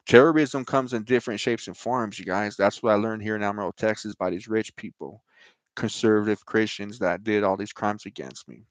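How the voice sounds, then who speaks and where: Host, male, lowish voice, dry close sound, rambling emphatic preaching style. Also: dry recording. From United States. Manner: rambling, emphatic, frequent "right?" and "you know". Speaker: online show host. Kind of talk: informal religious talk to camera.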